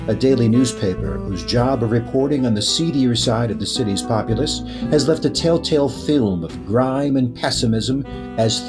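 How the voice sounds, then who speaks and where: Male radio-play announcer, medium pitch, theatrical scene-setting narration, plucked guitar clearly underneath. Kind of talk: scripted old-time radio drama narration. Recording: guitar music clearly behind the voice.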